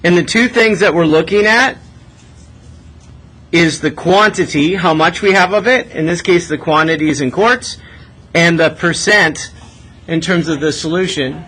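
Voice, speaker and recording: A man's voice: a little high, a little slow, bright, forceful tone, breathy edge. A man teaching math step by step in a conversational way, asking checking questions as he goes. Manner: conversational, often tags questions with "right?".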